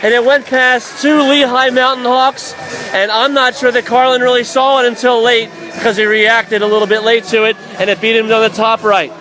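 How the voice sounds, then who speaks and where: A man calling live ice hockey play-by-play for radio, excitable and raising his voice on big plays. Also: very high voice, normal speed, rough recording. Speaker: play-by-play commentator. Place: indoor ice rink broadcast position. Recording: live arena commentary with faint crowd noise. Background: faint crowd and rink noise.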